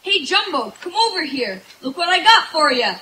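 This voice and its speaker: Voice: very high voice, sing-song, moderate pace, sharp tone, full character voice, low-quality recording. An actor playing an eager, plucky young boy in a cartoon radio play. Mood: curious, cheerful. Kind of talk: old-time radio cartoon acting. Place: outdoor stage in bamboo woods. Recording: live outdoor stage, background noise.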